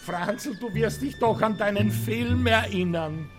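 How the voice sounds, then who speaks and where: High-voiced Austrian show host. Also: male voice, measured pace, music in the background. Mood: insistent. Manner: gushing, theatrical presenter patter. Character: slick, jovial Austrian TV host, nasty underneath. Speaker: actor. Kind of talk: radio drama acting as a show presenter.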